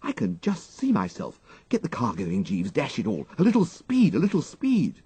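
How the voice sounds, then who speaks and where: A high, flustered upper-class English young gentleman. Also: wildly swooping pitch, normal speed, husky, no echo, full character voice. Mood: panicked, agitated. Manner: hesitant, exclamatory, prone to dated slang.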